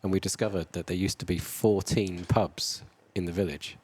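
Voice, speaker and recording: A man's voice: low-pitched, fairly even intonation, normal speed, darkish tone. A man hosting a local pub podcast, chatting casually and wandering off on digressions. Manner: chatty, digressive, laughs along. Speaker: podcast host. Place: brewery.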